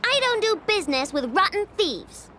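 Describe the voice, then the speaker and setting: A woman playing a feisty, blunt young girl inventor in a video game, with a childlike, expressive delivery. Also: very high voice, normal speed, background noise. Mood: angry, suspicious.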